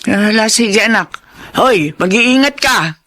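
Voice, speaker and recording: A woman's voice: mid-range voice, lively intonation, unhurried, a bit bright. A woman acting an older, concerned and probing mother who runs a family business, in a radio drama. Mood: concerned, coaxing.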